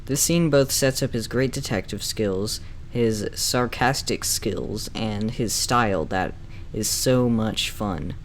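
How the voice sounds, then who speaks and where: A man's voice: fairly low pitch, slow, faint music behind. A man giving semi-scripted review commentary in an opinionated, enthusiastic fan's way. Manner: opinionated, enthusiastic fan talk. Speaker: reviewer and narrator of a video essay. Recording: clearly noisy background.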